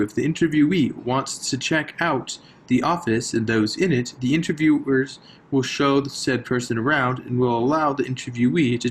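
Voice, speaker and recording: A man presenting a prepared talk over a video call, with a steady, formal and slightly stiff delivery. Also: medium pitch, slight background noise.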